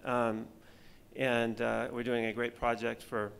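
Middle-aged man, film director on a panel; gravelly, halting, restarts often, speaks into a table mic. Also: medium pitch, little pitch movement, fairly bright tone. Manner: halting, many fillers and restarts. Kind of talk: conference panel talk. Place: conference stage, seated at panel table. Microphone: table mic on stand.

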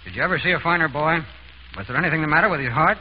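A man's voice: fairly high pitch, rapid-fire, slightly rough. A man acting a blunt, determined racehorse trainer in a vintage radio drama, terse and insistent. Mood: grim. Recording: dull, hissy vintage radio broadcast recording.

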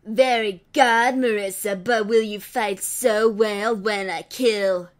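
Woman playing a loud, gloating evil clone villainess. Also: medium pitch, steady tone, takes it slowly, natural voice.

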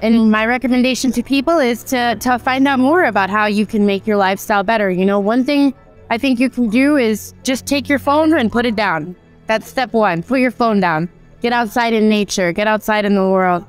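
A woman's voice: a little high, normal speed, soft background music. A young woman giving direct, upbeat advice in front of a press backdrop. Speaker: athlete.

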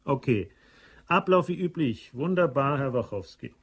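A man's voice: medium pitch, expressive, normal speed, background noise, lightly acted. An actor playing an impatient, bossy male manager of a shopping centre in a radio crime drama, curt and demanding. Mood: businesslike, firm.

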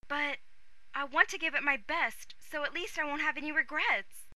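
A woman playing an earnest, excitable teenage girl in an amateur anime-style fandub. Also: sits high, normal speed, no room sound. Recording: amateur fandub with loud background music.

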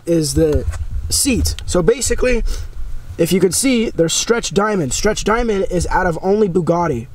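A young man with a hoarse, raspy voice from a cold, excitedly rambling to a handheld camera as a vlogger, outdoors and in a shop. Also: sits high, slight background noise. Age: young adult. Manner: excited, rambling, lots of fillers. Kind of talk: casual vlog talk to camera. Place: outdoors by a house and inside an auto upholstery shop. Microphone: handheld camera at arm's length.

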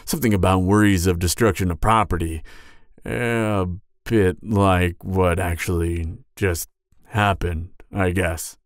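A man's voice: low-pitched, fairly even intonation, measured pace, no echo, clean studio sound, voice pushed down. A man voicing a sheepish, chatty, friendly giant in an ASMR roleplay, hesitant, awkward and rambling as he apologises. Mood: sheepish, defensive.

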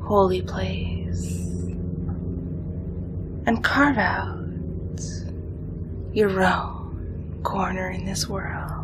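A woman playing a cold, accusing evil spirit in a breathy, deliberate, condemning dramatic monologue. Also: medium pitch, fairly even intonation. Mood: dark tempting. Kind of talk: whispered ASMR-like dramatic monologue. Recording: loud music bed under the voice. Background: loud music, overlapping looped voices.

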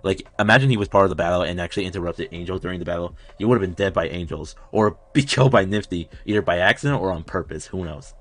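A man in his twenties giving casual, unscripted reaction commentary as a video host, rambling like an excited fan, recorded at home in a small room. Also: low voice, quick pace, few pauses.

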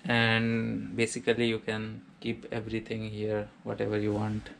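A man's voice: on the low side, completely flat delivery, normal speed, creaky, fairly bright tone, slight background noise. A man casually narrating a walkthrough of a small student apartment, listing its features in a plain, matter-of-fact way. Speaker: vlogger giving a house tour.

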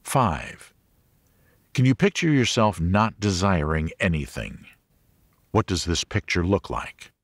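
A man reading a religious audiobook as a scripted narration, in a measured, clearly enunciated manner. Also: sits low, sing-song, no echo, clean studio sound.

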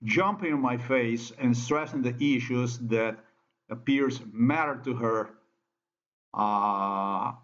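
A man from Slovenia with a Slovenian accent, speaking English as a second language in a halting monologue with many fillers and restarts. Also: medium pitch, fairly bright tone, highs cut off. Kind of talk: monologue over a screen recording.

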